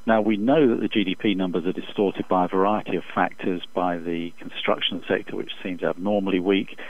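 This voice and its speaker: A man speaking as an expert economist in an interview over the phone, giving measured, formal, halting analytical answers. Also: deep voice, steady tone. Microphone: telephone. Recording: dull, muffled phone interview line.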